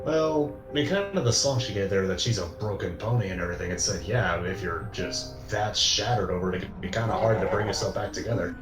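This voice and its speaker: A man's voice: lowish voice, brisk, hardly any pauses. A man giving casual, easygoing commentary as a panelist in a group reaction session, in a roomy-sounding recording. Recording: roomy echo, loud music behind.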